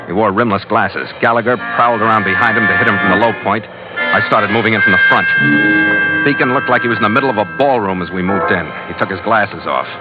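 A man's voice: on the low side, fast talker, loud music behind the voice, natural voice. Man acting a hard-boiled ship's skipper, muffled old radio. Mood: grim, dry. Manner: clipped, hard-boiled, dry. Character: tough, world-weary ship's skipper narrating his adventures.

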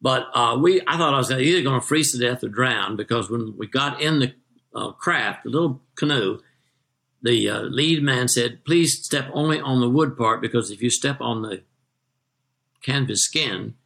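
An older American man from Texas telling detailed anecdotes as an interview guest, in a measured raconteur's manner. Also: mid-range voice, gravelly, fairly bright tone. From Texas, United States. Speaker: interview guest, retired magazine journalist.

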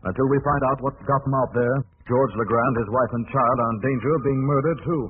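An actor playing a resolute, inquisitive amateur sleuth in an old-time radio mystery, with an assured, dramatic, probing delivery. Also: male voice, medium pitch, fairly even intonation, fast talker, close to an everyday voice. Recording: tinny, noisy vintage radio recording.

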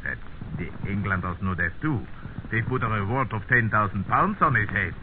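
Actor as brusque German captain, low voice. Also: male voice, expressive, moderate pace, natural voice. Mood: earnest. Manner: clipped, barking, officious. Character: brusque, scheming Nazi German army captain. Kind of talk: 1950s radio drama acting. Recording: very tinny, noisy 1950s radio recording.

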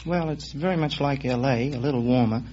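A young man chats as a contestant on an old radio quiz. His voice is mid-pitched and his answers are dry and understated. The sound is dull, with faint background noise. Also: fairly quick, a little mellow. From Australia. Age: 20s. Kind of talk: casual interview answers on a radio quiz show. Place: radio studio before an audience.

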